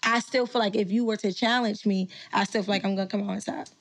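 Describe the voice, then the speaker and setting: A woman's voice: medium pitch, steady tone, fast. A woman poet talking casually and confidently, rambling with many restarts as she explains her views. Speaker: poet and battle performer. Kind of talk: casual podcast interview.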